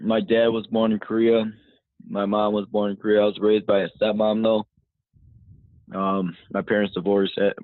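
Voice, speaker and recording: A man in his twenties from the United States rambles casually as a podcast guest, leaning on "you know" between thoughts. His voice sits very low and barely rises or falls. It comes through a thin, phone-like line with a dry sound. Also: dry recording. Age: 20s. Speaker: guest, fighter.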